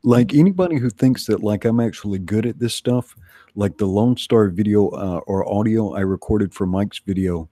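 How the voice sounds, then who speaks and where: A man chatting casually as a livestream guest, halting and rephrasing as he goes. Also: on the low side, warm tone, no room sound, studio quality. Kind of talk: casual chat.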